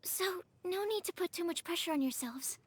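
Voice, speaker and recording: High-voiced, encouraging young alchemist. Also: female voice, expressive, moderate pace, slight background noise. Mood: reassuring. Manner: encouraging, clear explanatory delivery. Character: helpful, polite young female alchemist examiner. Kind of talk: video game voice acting.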